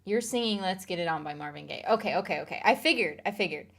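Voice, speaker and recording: A woman's voice: lowish voice, animated, quick pace, vocal fry. A woman streamer chatting casually on a live stream, reading viewer comments aloud and laughing along.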